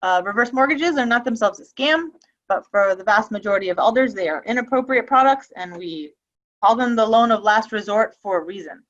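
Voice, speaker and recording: A woman's voice: fairly high pitch, fairly quick, a bit bright, lo-fi sound. A woman giving a webinar presentation on a video call, talking casually with frequent ums and restarts. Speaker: webinar presenter on elder abuse prevention.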